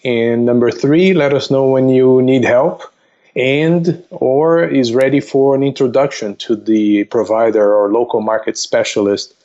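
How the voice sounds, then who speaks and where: A man explaining things as a podcast guest in a methodical, polite, somewhat halting way. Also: mid-range voice, steady tone, mellow, muffled recording. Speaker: investment counselor, podcast guest. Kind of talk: casual explanatory podcast talk.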